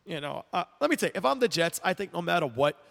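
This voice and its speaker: Man, congested, gravelly voice, slightly high pitch, casual rambling sports rant, long reverb. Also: fast. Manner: animated, rambling, mocking fans. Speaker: podcast host. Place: air-conditioned room at home. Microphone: headphones with mic.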